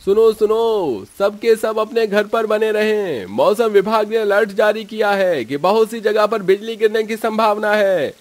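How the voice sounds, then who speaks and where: A man playing an urgent government announcer in a cartoon, making a loud public announcement. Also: sits high, measured pace, few pauses.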